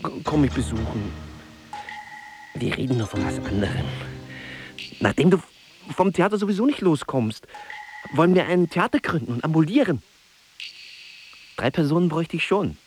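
An actor playing a tormented, lonely old artist, brooding and lovesick, with halting, theatrical delivery in a literary radio play. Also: male voice, fairly high pitch, big pitch swings. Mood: weary, wry. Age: older. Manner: theatrical, halting, weighty delivery with repeated words. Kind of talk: literary radio drama monologue and dialogue. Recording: music playing behind the voice. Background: music.

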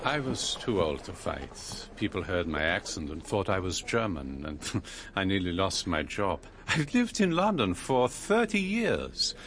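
A man's voice: low-pitched, sing-song, measured pace, hardly any pauses, noisy background. An actor in a radio drama playing a cautious older man, foreign-born and speaking with a foreign accent. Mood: rueful.

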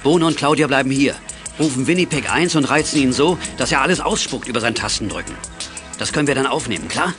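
An actor playing a calm, wry middle-aged father who takes command as a CB radio veteran in a children's audio drama. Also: mid-range voice, fast, faint music behind, lightly acted. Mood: amused, decisive. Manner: commanding, dryly humorous. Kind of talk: 1980s German children's audio drama acting.

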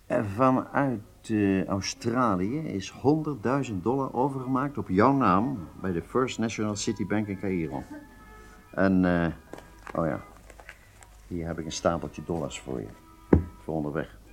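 An actor playing an agitated, businesslike intelligence handler in a radio drama, with halting delivery and emphatic outbursts. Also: male voice, fairly low pitch, soft background music. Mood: brisk, businesslike.

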